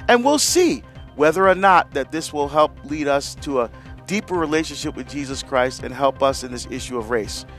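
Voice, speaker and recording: Relaxed talk-radio host, higher voice. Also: male voice, moderate pace, faint music behind. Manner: relaxed explaining with fillers and laughs.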